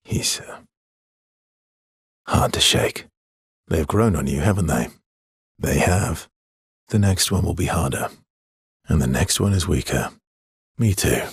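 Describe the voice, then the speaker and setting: Husky, low-key weary male adventurer. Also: lively intonation, takes it slowly, long pauses, no room sound, clean studio sound, exaggerated voice. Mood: quiet, reflective. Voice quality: husky, breathy. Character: weary, gentle, guilt-burdened adult male adventurer. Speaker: video game voice actor. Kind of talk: video game dialogue lines.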